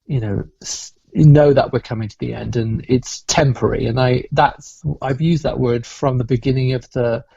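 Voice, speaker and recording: A man speaking as a guest in a casual interview, reflective and quick to laugh, heard over a dull remote call. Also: medium pitch, fairly even intonation, creaky, dark tone, breathy edge, dry recording. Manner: reflective, laughs often, restarts phrases. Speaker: cruise entertainment director, guest.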